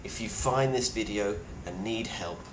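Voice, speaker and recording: Grim, low-voiced survivor, acted by a man, echoey recording. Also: unhurried, natural voice. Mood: earnest, advising. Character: grim, weary British male plague survivor leaving video testimony. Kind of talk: in-character monologue, found-footage video diary. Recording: roomy echo with clear background noise.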